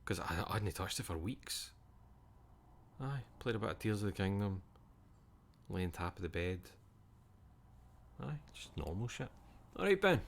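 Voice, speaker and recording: Young Scottish male streamer, very low voice, loose rambling chat with casual swearing. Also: big pitch swings, unhurried, vocal fry, pauses often.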